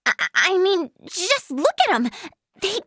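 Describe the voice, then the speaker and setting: A woman playing an earnest, bubbly young female healer and scholar in a video game, speaking in a girlish, expressive way. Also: very high voice, measured pace, no echo, clean studio sound, exaggerated voice. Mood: puzzled.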